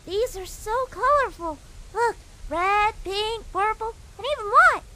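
A woman's voice: very high-pitched, animated, measured pace, sharp tone, a little hiss or hum, heavily acted. A woman voicing a sweet, curious little monster boy prince in a comic dub, innocent and playful. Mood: earnest, cheerful. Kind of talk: cartoon-like comic dub voice acting.

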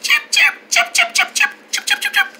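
A woman voicing a small frightened baby bird in a children's show, using only repeated squeaky chirps and mewing cries. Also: little pitch movement, normal speed, over music. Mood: chirping.

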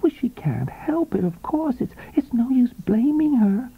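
A woman's voice: a little high, sing-song, a little slow, husky, phone-quality sound, pitched above the performer's own voice, full character voice. A man voicing a sweet, knowing young mistress in an audiobook story, full of insinuating, cooing concern. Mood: mock forgiving. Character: young sweet-voiced mistress, silvery, knowing, falsely sympathetic.

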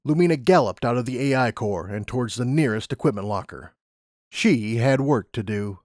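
A man's voice: mid-range voice, lively intonation, moderate pace, a little mellow, dry recording, clean studio sound. A man reading a scripted story as an audiobook narrator.

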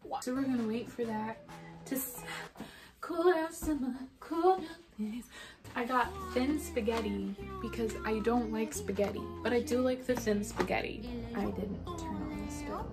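Young female vlogger with a high voice, dramatic casual storytelling from her kitchen over soft background music. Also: unhurried. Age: young adult. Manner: animated, dramatic storyteller who breaks into song. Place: home kitchen, indoors. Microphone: phone camera propped on counter.

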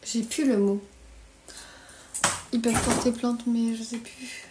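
A woman's voice: fairly high pitch, fairly even intonation, unhurried, darkish tone, slight background noise. A woman in her early twenties from France with a French accent, chatting casually on a livestream in a blunt, slangy, griping way. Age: early 20s. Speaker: live streamer. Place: small bedroom, indoors. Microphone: phone at arm's length. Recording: roomy echo on phone microphone.

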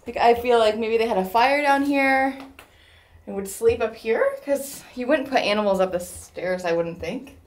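A woman's voice: on the high side, moderate pace. A woman in her thirties exploring a rocky cave with her family, chatting and marvelling at what she sees. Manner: chatty, marvelling, laughs easily. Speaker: vlogger and mother. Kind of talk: casual chat while exploring.

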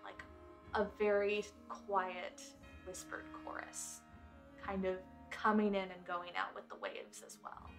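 A woman playing a tabletop game, improvising descriptive narration in measured short phrases with pauses. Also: medium pitch, big pitch swings, faint music behind.

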